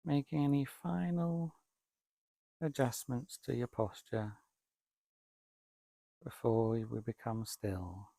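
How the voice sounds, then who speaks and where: A man leading a guided meditation, speaking calmly and deliberately in short phrases with long pauses between them. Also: mid-range voice, creaky, no room sound. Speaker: meditation teacher, Buddhist monk.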